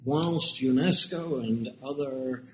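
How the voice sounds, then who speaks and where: A British man speaking in a calm, deliberate lecturing manner, drawing out key words as he explains. He answers interview questions like a seasoned academic. A faint hiss of background noise sits under his voice. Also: medium pitch, rough recording. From United Kingdom. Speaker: education researcher guest.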